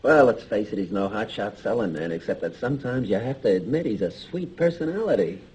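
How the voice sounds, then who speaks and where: An actor playing an eager, breezy younger brother, a young American schemer in a stage drama. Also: male voice, fairly low pitch, animated, a little fast, a little mellow, lightly acted, poor audio. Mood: low, confiding.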